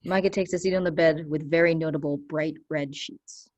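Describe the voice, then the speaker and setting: A woman reading stage directions as scripted narration, measured and declarative. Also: deep voice, steady tone, darkish tone.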